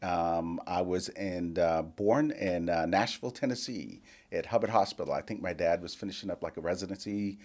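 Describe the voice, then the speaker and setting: An older man who grew up in the Bahamas, a guest in a casual interview, telling his life story with lively quoted dialogue. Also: low voice, normal speed, few pauses. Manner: storytelling, animated quoting of others.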